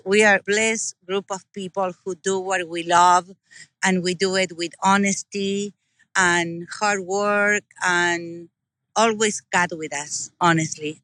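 A woman's voice: on the low side, dry recording. Enthusiastic Argentine-accented woman. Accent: Argentine Spanish. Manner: warm, enthusiastic, earnest. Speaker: interview guest, business owner. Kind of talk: casual interview talk.

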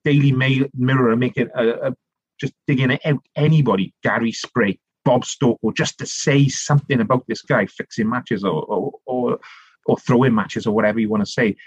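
A middle-aged man from South Wales with a Welsh accent, telling long animated stories as a podcast guest, full of restarts and asides. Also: medium pitch, normal speed, husky, no echo, dull audio. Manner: animated storytelling, rambling with many restarts and "you know". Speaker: guest, author and politician. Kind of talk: conversational podcast interview.

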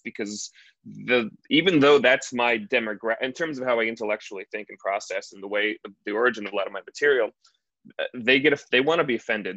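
A man who works as a stand-up comedian, chatting casually in an interview and telling stories with quick asides. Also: mid-range voice, dry recording, muffled recording. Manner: animated storyteller, quick asides.

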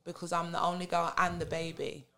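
A young adult woman from England with a London accent, a podcast guest talking candidly and laughing through animated stories. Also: on the low side, brisk. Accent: London English. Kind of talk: casual chat.

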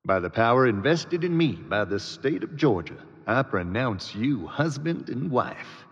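A man's voice: medium pitch, very animated, measured pace, a bit bright, breathy edge, exaggerated voice. A man acting as a formal wedding officiant in a scripted audio drama. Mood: solemn. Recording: muffled, reverberant hall.

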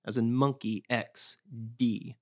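A man's voice: medium pitch, steady tone, slow, darkish tone. A man chatting casually as a podcast guest, joking in a mock-grumpy way. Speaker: podcast guest, sketch comedy performer. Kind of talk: casual chat.

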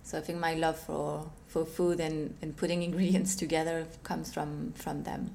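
A young woman from Switzerland, a company co-founder, answering interview questions in a soft, relaxed way. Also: low-pitched, normal speed, gravelly, a little hiss or hum.

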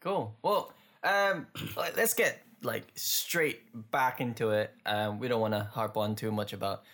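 A man co-hosting a podcast in casual chat, relaxed and rambling, with lots of fillers and easy laughter. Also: on the low side, unhurried. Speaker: podcast co-host.

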